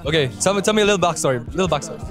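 A man's voice: on the high side, lively intonation, very fast, music in the background. A young man vlogging in a casual, laid-back way, full of slang, at a night-time outdoor patio, talking into a handheld camera mic. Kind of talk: casual vlog chat.

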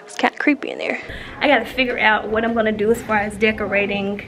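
An American woman in her twenties chatting casually and playfully to the camera as a vlogger, in colloquial, animated speech. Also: medium pitch, fast. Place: house, car and restaurant booth. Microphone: handheld camera at arm's length. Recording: noisy handheld camera audio.

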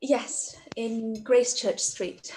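A young woman playing a gentle, polite, hesitant gentlewoman in a period radio play over a video call. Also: a little high, slow, rough recording. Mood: faint, hesitant. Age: young adult. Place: room at home, on a video call.

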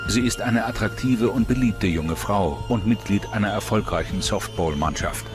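A man reading scripted true-crime documentary narration as the narrator, in a measured, suspenseful way. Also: lowish voice, music in the background.

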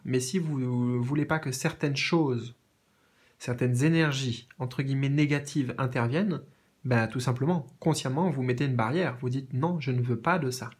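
A man with a cold and a sore throat giving a tarot reading in a gentle, repetitive way with many fillers. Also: medium pitch, moderate pace. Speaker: tarot reader. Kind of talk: tarot reading, reading out card meanings. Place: indoors at a card table.

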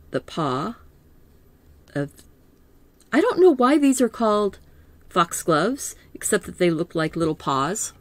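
A middle-aged woman teaching a live painting lesson in a calm, soothing voice, gently thinking aloud as she works. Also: low-pitched, dry recording. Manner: slow, gentle, thinking aloud with small laughs. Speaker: art teacher.

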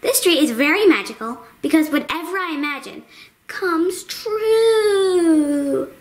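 A woman's voice: extremely high pitch, lively intonation, slow, full character voice. A woman voicing a bubbly, girlish, imaginative hand puppet host in a children's show, with an exaggerated singsong delivery. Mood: cheerful, chatty.

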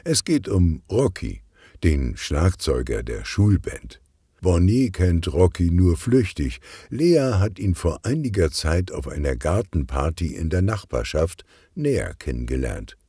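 A man's voice: deep voice, very animated, unhurried, mellow, husky, dry recording, clean studio sound. A man narrating a children's detective story as a scripted audiobook reader.

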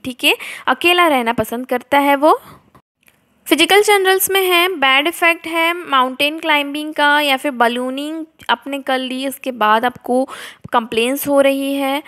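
A woman's voice: high voice, a bit bright. A young woman with an Indian accent giving an explanatory lecture, reading notes aloud and then explaining them. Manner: switches between reading out and explaining. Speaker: homeopathy lecturer.

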